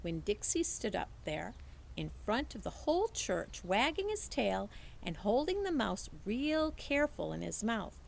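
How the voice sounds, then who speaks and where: A woman reads a children's book aloud with animated storytelling. Her voice is creaky, with a gravelly edge, at an ordinary pitch for a woman. The recording has clear background noise. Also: unhurried, low-quality recording. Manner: animated storytelling, acts out dialogue. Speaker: teacher reading a book aloud. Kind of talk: expressive children's audiobook-style read-aloud.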